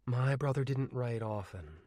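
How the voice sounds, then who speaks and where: A man voicing a guarded older male schoolteacher who speaks in a dry, slow, formal way. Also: medium pitch, animated, darkish tone, dry recording, pitched above the performer's own voice, lightly acted.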